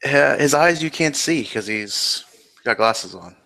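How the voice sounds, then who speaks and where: Forceful-toned man playing a tabletop game. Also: mid-range voice, lively intonation, a little slow, poor audio. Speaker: roleplaying game player. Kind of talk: describing his character's actions in a tabletop game.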